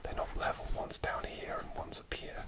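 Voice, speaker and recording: A man whispering a rambling, hesitant story as an ASMR creator, speaking right up close to the microphone with frequent restarts. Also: normal speed.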